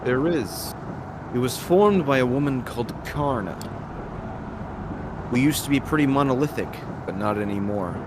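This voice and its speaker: A man's voice: mid-range voice, animated, normal speed, long pauses, noisy background, natural voice. A man playing an earnest, loyal vampire operative in an amateur visual novel dub, plain-spoken in delivery. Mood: serious.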